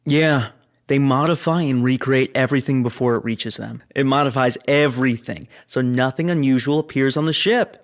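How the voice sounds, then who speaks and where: A man's voice: medium pitch, normal speed, close to an everyday voice. Guy playing a chummy spaceship crew dude, bit guarded, casual natural sci-fi audio drama talk. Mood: explaining, confiding. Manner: casual, conversational. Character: guarded but chummy spaceship crewman, adult man.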